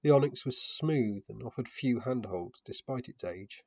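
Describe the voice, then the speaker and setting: A man's voice: mid-range voice, expressive, moderate pace, creaky, warm tone, breathy edge, no room sound. A man narrating a story dramatically in the first person, in a muffled home recording. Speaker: narrator of a fantasy fiction podcast.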